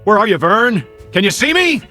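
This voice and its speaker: An American actor in a radio drama playing a confused, lonely amnesiac Air Force man who talks to himself in halting, stammering repeats. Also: high voice, big pitch swings, pushed and bright, faint music behind, exaggerated voice. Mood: wondering, uneasy. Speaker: lead actor. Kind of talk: dramatic radio play acting.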